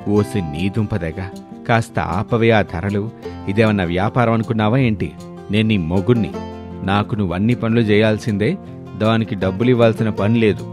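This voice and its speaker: A man's voice: on the low side, moderate pace, over music, lightly acted. A man voicing an exasperated, grumbling middle-aged husband, plaintive and henpecked, in a cartoon moral story. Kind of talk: dramatic Telugu moral story cartoon dialogue.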